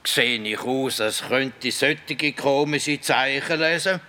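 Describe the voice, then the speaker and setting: An actor playing a playful, eccentric, forgetful forest spirit in a children's radio play, with a Swiss German accent and a singsong, exclamatory manner. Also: male voice, mid-range voice, takes it slowly, sharp tone, a little hiss or hum. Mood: indignant. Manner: singsong, exclamatory, prone to nonsense syllables.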